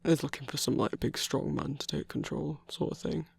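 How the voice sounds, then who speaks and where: A man playing a gruff but tender werewolf boyfriend in an intimate ASMR roleplay, rambling in halting, sweary, hushed phrases with a husky, gravelly voice. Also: on the high side, steady tone, no echo, exaggerated voice. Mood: earnest, hesitant.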